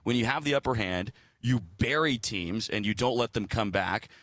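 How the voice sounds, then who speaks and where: A man's voice: mid-range voice, moderate pace, fairly bright tone, no echo. A man talking as a guest sports broadcaster, explaining hockey analysis in a casual, conversational way. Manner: explanatory, casual, checks in with "right?".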